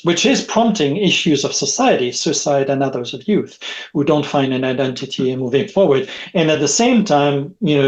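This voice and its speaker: A man's voice: a little high, a little mellow, lo-fi sound. Middle-aged French-native man, rambling guest. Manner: rambling, repeats words, frequent rhetorical "right?". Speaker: guest, technology expert. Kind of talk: conversational podcast interview. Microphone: video call.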